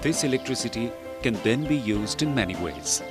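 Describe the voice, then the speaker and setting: A man reading scripted instructional narration for a training film in a measured, didactic way. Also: medium pitch, animated. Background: background music.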